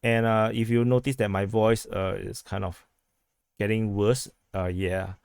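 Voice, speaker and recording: A hoarse man talks through a casual vlog update. His voice sits on the low side and sounds a bit worn. He rambles in a halting way, with many restarts and fillers, and the sound is dry and close. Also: level tone, darkish tone, no room sound. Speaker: vlog host giving news updates. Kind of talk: casual spoken commentary.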